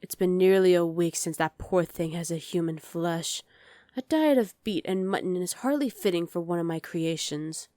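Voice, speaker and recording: Woman playing a low, velvety evil queen. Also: moderate pace, mellow, no echo, clean studio sound, lightly acted. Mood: coolly imperious. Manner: commanding, condescending, coolly threatening. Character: dominant evil sorceress queen, imperious and menacing. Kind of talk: ASMR roleplay.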